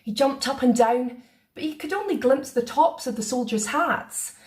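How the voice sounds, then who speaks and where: A woman reading a children's picture book aloud in a playful, expressive way. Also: sits high, moderate pace. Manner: expressive, sing-song. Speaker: storyteller.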